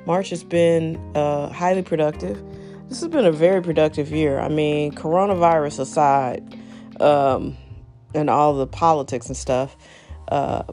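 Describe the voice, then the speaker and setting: A woman's voice: lowish voice, mellow. A middle-aged woman hosting her own show, talking off the cuff with frequent laughs and wandering asides. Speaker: podcast host. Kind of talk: unscripted solo talk.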